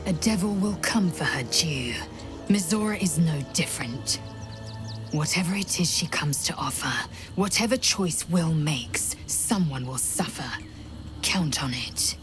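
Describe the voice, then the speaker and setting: An actress playing a stern, proud alien warrior woman in a video game, clipped and commanding, with a breathy, hissed edge and music behind her. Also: low voice, lively intonation, a little slow. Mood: grim warning. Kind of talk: video game character acting.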